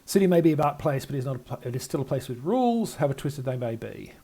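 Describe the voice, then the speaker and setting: An older man plays a game and comments as he goes. His mid-pitched voice has a gravelly creak. He reads out and muses haltingly. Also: sing-song, mellow. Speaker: gamer playing and narrating a game. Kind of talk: let's-play commentary, reading text aloud and musing.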